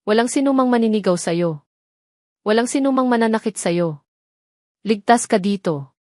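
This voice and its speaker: A woman's voice: fairly high pitch, lively intonation, moderate pace, warm tone, no room sound, lightly acted. A serious young male landowner, acted out by a woman storyteller, protective and reassuring. He speaks as a romance audio story hero, recorded dry and without room sound.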